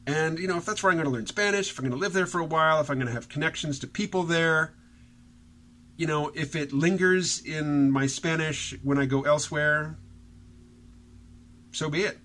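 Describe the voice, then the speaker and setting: A man's voice: on the high side, moderate pace, sharp tone, a little hiss or hum. A man talking casually in a solo vlog, rambling and chuckling as he reads out viewer comments. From Southern United States. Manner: rambling, chuckling, many fillers and restarts. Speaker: vlogger.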